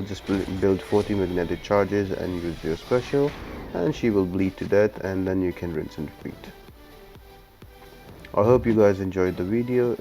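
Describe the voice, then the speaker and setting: A man with a low-pitched voice and a dark, relaxed tone gives a video game guide. He explains things step by step in an instructional way, with faint music playing behind him. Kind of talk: scripted-sounding game walkthrough commentary.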